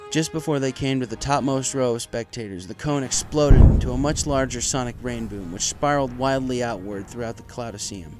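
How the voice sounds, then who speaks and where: A man's voice: medium pitch, darkish tone. Man narrating a story over music. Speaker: story reader. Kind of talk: dramatic scripted narration of a fan story. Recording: music playing behind the voice.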